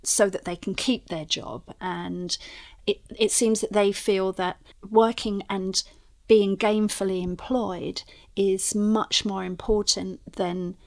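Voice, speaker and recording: A woman's voice: medium pitch, fairly even intonation, slow pace. A woman who manages a bed and breakfast, talking about her staff in a chatty, frank way. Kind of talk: interview answers.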